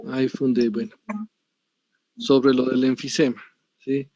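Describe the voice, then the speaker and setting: Profesor colombiano de mediana edad, voz áspera, grabación seca, clase en línea. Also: male voice, mid-range voice, level tone, takes it slowly, dark tone, no room sound, highs cut off. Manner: digressive, frequent tag questions. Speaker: university lecturer. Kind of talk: online pathology lecture.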